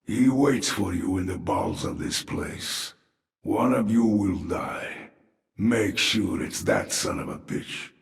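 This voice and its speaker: An actor playing a sardonic, grim undead military commander in a video game, with a gravelly voice and dry menace. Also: male voice, takes it slowly, full character voice. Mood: grim warning. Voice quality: gravelly, low. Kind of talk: video game character acting. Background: music.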